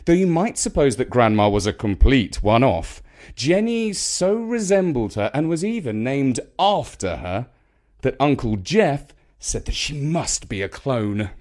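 A man with a British accent narrating a scripted children's story in a measured, expressive storytelling manner. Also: medium pitch.

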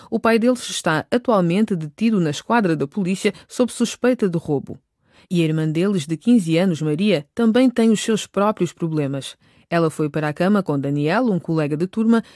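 A woman's voice: fairly low pitch, darkish tone, dry recording, clean studio sound. A woman narrating a scripted radio drama.

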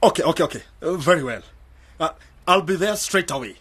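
Husky, animated young skeptic. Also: male voice, on the high side, a little fast, no echo. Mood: flustered. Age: young adult. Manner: questioning, argumentative. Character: skeptical young graduate man eager to emigrate, sober and analytical. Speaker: radio drama actor. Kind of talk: radio drama dialogue.

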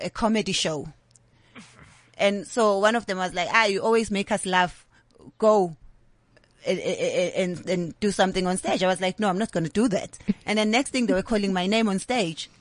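A woman's voice: mid-range voice, normal speed, dry recording. A South African woman in her thirties, a comedian talking candidly in an interview and slipping into Zulu phrases. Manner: candid, animated storytelling mixing English with Zulu. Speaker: comedian guest. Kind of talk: interview conversation.

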